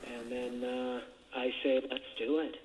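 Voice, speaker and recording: A man's voice: medium pitch, flat delivery, measured pace. A man chatting casually in a hesitant, rambling way over a jail phone line. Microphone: telephone handset. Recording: muffled, noisy jail phone call recording.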